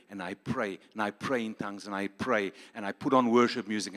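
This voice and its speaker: A man's voice: on the low side, a bit bright. A man with a South African accent, preaching a sermon with emphatic rhetorical questions and playful asides. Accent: South African English. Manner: emphatic, rhetorical questions, switches to Afrikaans for jokes. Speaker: pastor. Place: large church hall. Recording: reverberant church hall.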